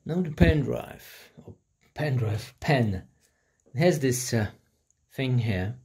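A man in his thirties, not a native English speaker, casually reviewing a laptop in plain, halting English and apologizing for his language. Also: medium pitch, lively intonation, mellow, echoey room. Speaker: tech reviewer. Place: hot room at home with a construction site nearby. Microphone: laptop built-in mic and camera. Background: buzzing construction noise.

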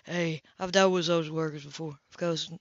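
A woman's voice: sits low, steady tone, brisk, no echo, pitched below the performer's own voice. Woman reading as a cocky flirting man, muffled sound. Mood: teasing, seductive. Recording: dull lo-fi home recording.

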